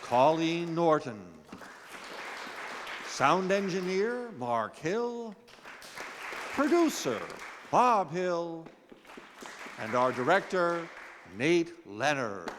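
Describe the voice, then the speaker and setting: A man playing a formal, courteous 1930s radio announcer and gentleman, speaking in a measured way. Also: fairly high pitch, very animated, a crowd in the background, full character voice. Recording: noisy echoing hall with audience.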